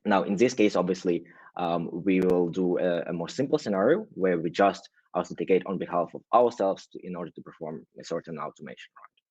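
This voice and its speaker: A man from Russia, a guest on a show, explaining things in a relaxed way and often checking in with "right?". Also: low voice, a little fast, husky, dry recording, lo-fi sound. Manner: hedging, frequent "right?" tags and restarts. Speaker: guest, automation agency founder. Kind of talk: casual explanatory interview talk.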